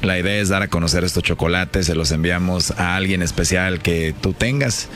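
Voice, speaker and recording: A man playing a smooth-talking, flirty telemarketer with a chocolate promotion, in casual sales patter on a radio prank call. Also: deep voice, moderate pace, fairly bright tone. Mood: friendly pitch.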